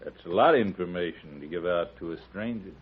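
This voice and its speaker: Actor as deep, guarded western rider. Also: male voice, brisk, slight background noise, natural voice. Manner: laconic, guarded. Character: guarded, laconic male rider of the Old West. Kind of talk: 1950s radio western acting. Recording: dull vintage radio broadcast.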